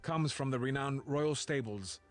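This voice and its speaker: A man's voice: medium pitch, measured pace, faint music behind, close to an everyday voice. An actor playing a grand, commanding medieval king in a game, imperious and theatrical. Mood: imperious, ceremonial.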